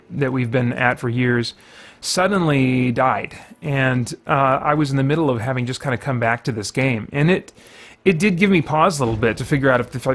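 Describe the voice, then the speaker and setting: A man's voice: mid-range voice, a bit bright. A man hosting a casual let's play, thinking aloud and rambling as he goes. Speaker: gaming video host.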